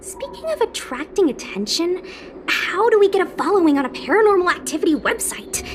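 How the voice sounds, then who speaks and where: A woman's voice: very high voice, very animated, a little fast, soft background music. A woman voicing a sassy, skeptical young girl in an anime game, frank and teasing in manner. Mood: skeptical, curious. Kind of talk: anime game dialogue acting.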